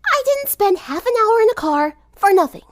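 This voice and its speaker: A woman puts on a brash teenage girl for a storybook reading. The voice sits well above an everyday pitch and swings widely, with an impatient, blunt, exasperated delivery in dry, close sound. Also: very animated, moderate pace, no room sound.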